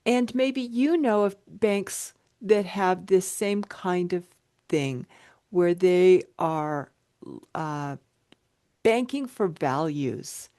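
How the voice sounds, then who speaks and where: A woman's voice: on the low side, expressive, very slow, darkish tone. A woman asking a question on a video call, searching for words and restarting phrases. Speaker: audience member asking a question.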